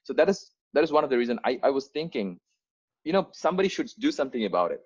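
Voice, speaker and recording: A man's voice: mid-range voice, big pitch swings, fast, a little mellow, slightly rough, dull audio. A young man in his twenties, an English speaker from Bangladesh, earnestly telling the story of founding his organisation over a video call, with many fillers and restarts. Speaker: founder giving an onboarding talk. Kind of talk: unscripted presentation to volunteers.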